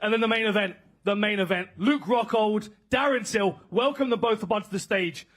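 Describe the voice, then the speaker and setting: A man's voice: high-pitched, brisk. A man hosting a press conference, energetically introducing the fighters on stage. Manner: energetic announcer. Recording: crowd and faint noise behind.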